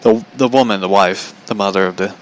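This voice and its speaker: A man's voice: fairly low pitch, a little fast, a little hiss or hum, poor audio. A man telling stories in a casual, rambling, foul-mouthed way as a podcast host, incredulous and repeating himself for emphasis.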